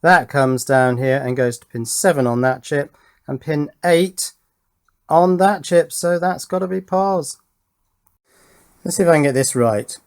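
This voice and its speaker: A man's voice: a little high, measured pace. A middle-aged man giving a casual, chatty running commentary on a hands-on electronics build, thinking aloud and often putting little questions to himself. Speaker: electronics hobbyist presenter.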